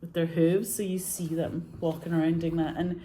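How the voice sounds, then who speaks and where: Scottish woman, rambling casual chat, low mellow voice, faint background noise. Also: flat delivery, normal speed. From Scotland. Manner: chatty, rambling, laughs often, says "like" a lot. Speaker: actress and filmmaker.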